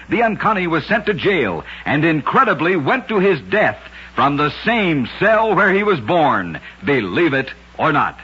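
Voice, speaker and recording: A man tells curious tales in the manner of an old-time radio narrator, dramatic and deliberate. His voice sits fairly high and is forceful, with a rough husky edge. It reads like scripted broadcast storytelling. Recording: dull old radio recording.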